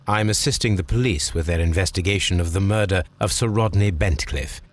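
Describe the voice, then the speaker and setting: A composed male detective in a video game. He has a deep, slightly rough English voice and questions people in a formal, measured way, probing with clipped politeness. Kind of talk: scripted video game acting.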